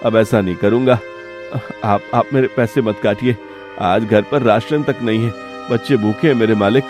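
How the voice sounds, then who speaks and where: A man's voice: on the low side, moderate pace, natural voice. A man voicing a poor, humble village labourer in a cartoon, pleading and earnest. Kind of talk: Hindi moral-story cartoon dialogue. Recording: music behind the voice.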